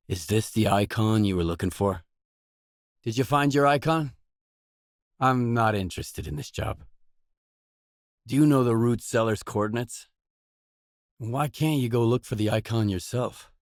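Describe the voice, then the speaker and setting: An actor playing a terse, guarded mercenary in a video game, speaking scripted lines in a matter-of-fact way. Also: male voice, lowish voice, no echo, studio quality.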